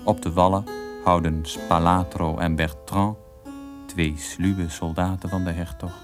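A man's voice: deep voice, little pitch movement, a little slow. A man giving scripted narration as the storyteller of a radio drama serial. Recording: guitar music behind.

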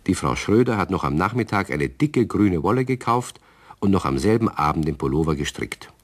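Deep older male radio play narrator. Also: fairly quick. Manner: calm storytelling.

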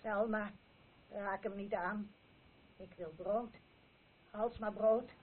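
A woman's voice: mid-range voice, steady tone, breathy edge. A woman playing an older, obsessive mother in a radio play, plaintively repeating herself like an incantation. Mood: insistent, pleading. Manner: slow, repetitive, incantatory. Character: obsessive mother, insistently and plaintively craving bread. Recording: tinny, noisy old radio recording.

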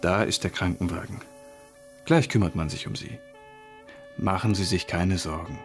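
An actor playing a secretive investigator in a radio crime drama, confiding and urgent yet reassuring. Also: male voice, low-pitched, normal speed, soft background music. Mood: solicitous.

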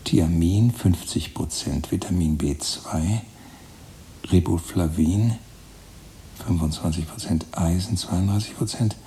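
An actor playing an obsessive, meticulous writer in a radio drama, listing and calculating with methodical precision. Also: male voice, deep voice, takes it slowly, warm tone. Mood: dry reciting. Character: obsessive man, a writer, meticulously planning his austere seclusion. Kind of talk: radio drama monologue, German.

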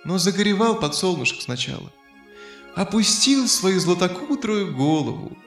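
A man tells a fairy tale to children in expressive narration. His voice is fairly high and swings widely in pitch. There is echo on it and light music behind. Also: moderate pace. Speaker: storyteller.

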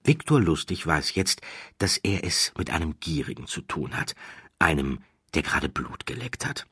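A man narrating an audiobook as a dramatic storyteller, with deliberate, emphatic phrasing. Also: extremely low pitch, very animated, fairly bright tone, husky, dry recording, studio quality. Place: dry studio.

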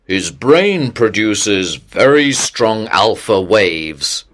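Man playing a measured, puzzled doctor. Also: fairly low pitch, very animated, a bit bright, heavily acted. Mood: puzzled, factual. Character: puzzled male sleep doctor explaining test results. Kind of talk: acted dialogue in an audio story.

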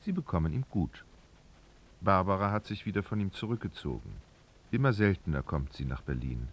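A man's voice: low-pitched, animated, moderate pace, breathy edge. A man narrating a literary radio drama, reading expressively and dramatically.

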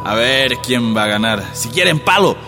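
An actor playing a threatening army officer in a radio drama. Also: male voice, mid-range voice, animated, moderate pace, natural voice. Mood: menacing. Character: threatening male army officer breaking a strike. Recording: reverberant hall, music underneath.

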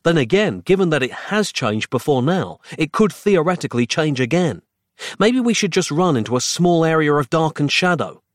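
A man's voice: sits high, sing-song, brisk, hardly any pauses, no room sound, studio quality, pitched above the performer's own voice. A man acting an anguished, exasperated male hero in a comic horror tale, wordy and melodramatic. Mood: wordy, urgent. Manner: melodramatic, over-explaining, urgent.

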